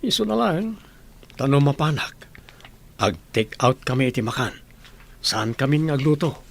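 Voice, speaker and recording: A man's voice: medium pitch, big pitch swings, normal speed, creaky. A man acting a young engineer courting his girlfriend in a radio drama. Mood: bantering.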